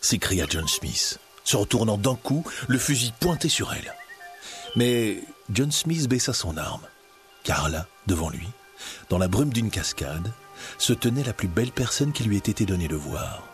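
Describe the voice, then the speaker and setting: A man narrating a storybook tale in an expressive, scripted reading. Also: lowish voice, measured pace, faint music behind.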